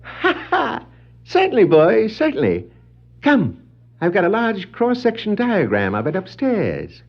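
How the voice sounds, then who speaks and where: High, swooping clownish master criminal. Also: male voice, huge pitch swings, measured pace, vocal fry, exaggerated voice. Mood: gleeful cackling. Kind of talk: 1960s children's record dramatization of a comic book. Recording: muffled 1960s vinyl record.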